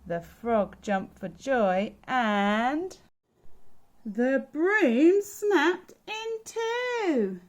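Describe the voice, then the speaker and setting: Slow, expressive woman reading a picture book. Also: sits high. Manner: expressive, sing-song storytelling. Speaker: childminder. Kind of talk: picture-book read-aloud for children.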